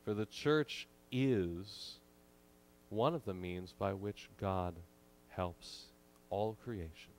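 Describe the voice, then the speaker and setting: Man preaching, slow deliberate delivery, lowish voice, emphatic pauses, pulpit mic in a church. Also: animated, a little mellow, slight background noise. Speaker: pastor. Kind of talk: sermon. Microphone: pulpit microphone.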